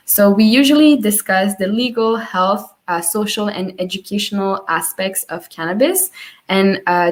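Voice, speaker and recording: A woman from Colombia in her twenties, hosting an online panel over a video call, with many fillers and restarts. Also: medium pitch. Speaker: panel moderator.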